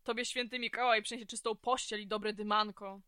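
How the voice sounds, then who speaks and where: Woman as mouthy crude female inmate, sharp clear voice, blunt slang, audio drama. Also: medium pitch, steady tone, brisk, no room sound, clean studio sound, natural voice. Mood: envious, teasing.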